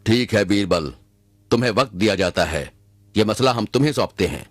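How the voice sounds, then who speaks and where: A man's voice: fairly low pitch, animated, fairly quick, faint music behind, close to an everyday voice. A man playing a stately, authoritative emperor holding court in a Hindi cartoon. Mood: stern, regal. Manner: regal, authoritative.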